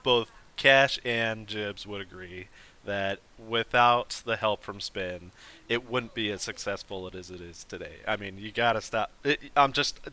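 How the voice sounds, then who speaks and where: A man's voice: fairly low pitch, a little slow, pushed and bright. A man hosting a live stream, chatting casually with a guest in a rambling way full of fillers and restarts. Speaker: host.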